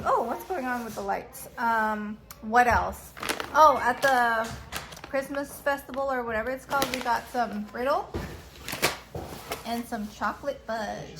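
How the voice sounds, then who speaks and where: A young woman chatting casually to her vlog audience in a friendly, upbeat way, her voice a little hoarse. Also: on the high side, a little hiss or hum. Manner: chatty, upbeat, says "girl" and "y'all". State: slightly hoarse. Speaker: vlogger. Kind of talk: casual vlog chat to camera.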